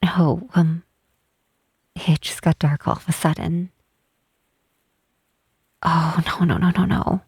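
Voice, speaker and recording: Woman performing a tall half-troll cleric lady, shy, wistful, tender, soft husky voice, unhurried hesitant delivery, ASMR roleplay, close mic. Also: on the low side, flat delivery, no room sound, heavily acted. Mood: flustered, apologetic. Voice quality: soft, breathy. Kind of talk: ASMR roleplay, intimate softly spoken acting. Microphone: close microphone, dry.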